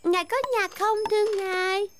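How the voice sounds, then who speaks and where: A woman voicing an earnest, excitable little girl train in a cartoon. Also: very high voice, moderate pace, heavy background noise. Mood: urgent. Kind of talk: cartoon dubbing. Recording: loud music behind the voice.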